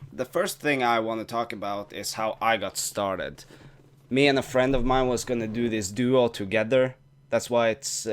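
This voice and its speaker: A young man whose first language is Norwegian, talking casually to camera in a vlog with frequent restarts and swearing. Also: lowish voice. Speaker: YouTuber.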